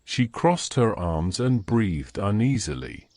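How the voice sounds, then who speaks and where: Male narrator, scripted fantasy storytelling, low pitch, highly animated intonation. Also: slow pace, dry recording.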